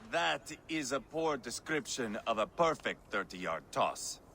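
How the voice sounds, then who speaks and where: An actor playing a bloodthirsty, mocking Viking warrior in a video game. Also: male voice, medium pitch, big pitch swings, unhurried, vocal fry, pushed and bright, a little hiss or hum, exaggerated voice. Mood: taunting. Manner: mocking, swaggering, savage. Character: bloodthirsty, taunting Viking warrior, a grown man. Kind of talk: dramatic video game acting.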